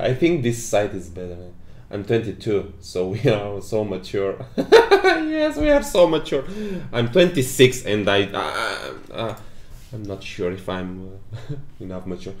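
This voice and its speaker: A young man whose first language is not English, hosting a casual livestream and reading the chat aloud with lots of laughing. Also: on the low side. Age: 20s. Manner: giggly, reads chat aloud, frequent laughs. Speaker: game developer hosting a stream. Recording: roomy echo.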